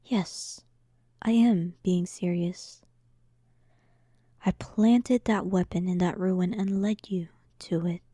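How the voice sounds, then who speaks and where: A woman's voice: lowish voice, steady tone, slow, long pauses, dark tone, no room sound, clean studio sound. A woman voicing an arrogant, sarcastic demon queen in a roleplay audio drama, teasing and condescending, often dropping toward a whisper. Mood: smug, teasing.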